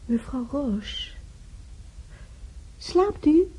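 A woman's voice: sits high, expressive, drawn-out words, warm tone, full character voice, low-quality recording. An actress playing a chatty, well-meaning council visitor in a radio drama, stammering and repeating her words solicitously.

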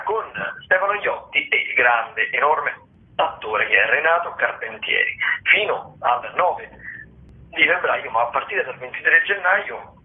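Over a narrow, phone-like connection, a man with a medium-pitched voice hosts a radio theatre segment. He runs through listings and short reviews, halting at times, stretching words and correcting himself as he goes. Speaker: radio show host. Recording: thin, phone-like narrowband sound.

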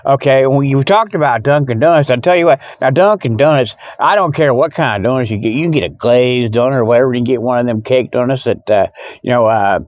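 A man's voice: medium pitch, quick pace, a little mellow, no echo. A man hosting a rambling, casual show from his parked truck in a folksy, jokey, exclamatory way. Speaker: podcast host. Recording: dull, muffled lo-fi audio.

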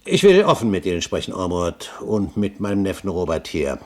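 Older pirate captain, aristocratic, pompous, cunning, eloquent, theatrical 1970s German radio play delivery. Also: male voice, sits low, big pitch swings, fairly quick. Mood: confiding, scheming.

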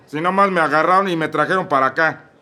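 A man's voice: a little high, fast talker, pushed and bright. A man playing a vulgar, brash male defendant in a Mexican radio comedy sketch, heckling the court. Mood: indignant.

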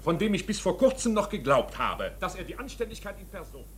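An actor playing a talkative, self-important manufacturer in a German radio play, effusive and confiding. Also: male voice, sits high, sing-song, brisk, noisy background. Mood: affable, hurried. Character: chatty, self-important male manufacturer, businesslike and insinuating.

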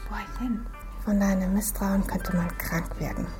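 A woman's voice: mid-range voice, steady tone, a little slow, music in the background, close to an everyday voice. An actress playing a chatty, teasing young woman in a radio crime drama. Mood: exasperated.

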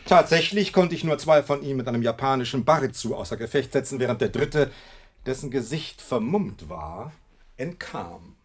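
A man's voice: medium pitch, animated, normal speed, close to an everyday voice. Man playing a theatrical master detective, swooping delivery. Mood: dramatic recounting. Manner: pompous, precise, dramatically emphatic. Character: brilliant, self-assured, theatrical male detective. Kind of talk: German radio drama acting.

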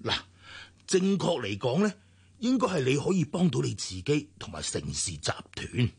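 A man's voice: fairly high pitch, very animated, moderate pace, a little mellow, full character voice. A man with a Hong Kong Cantonese accent, playing a worried, middle-aged company director who sighs and pleads in a radio drama. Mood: urgent, pleading. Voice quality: husky, sighing.

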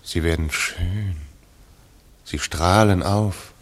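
An actor playing an awed onlooker in a theatrical radio play. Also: male voice, deep voice, takes it slowly. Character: awed male onlooker at a visionary gathering.

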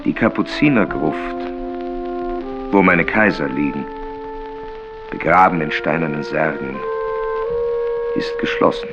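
An actor playing a melancholic, bitterly ironic writer who recites his works in a theatrical, deliberate way in a radio play. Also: rumbling low, huge pitch swings, muffled recording, loud music behind the voice, exaggerated voice. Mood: mournful. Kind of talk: literary radio play reading.

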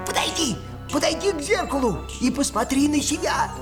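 An actor voicing a kindly, sly little wood-goblin in a children's audio fairy tale, speaking in an unhurried, conspiratorial way. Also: high-pitched, animated, over music. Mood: calm, instructing.

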